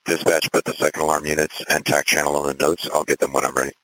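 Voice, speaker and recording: Very deep, flat male fire commander on radio. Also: fast talker, slight background noise, poor audio. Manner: clipped, composed, directive. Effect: two-way radio. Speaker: fire battalion chief acting as incident commander. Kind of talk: procedural emergency radio dispatch traffic.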